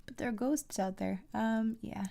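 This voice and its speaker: A woman's voice: a little high, normal speed, vocal fry. A woman giving casual let's-play commentary, muttering to herself in short fragments while getting over a cold. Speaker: host of a game playthrough. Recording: loud game music behind the voice. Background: video game music.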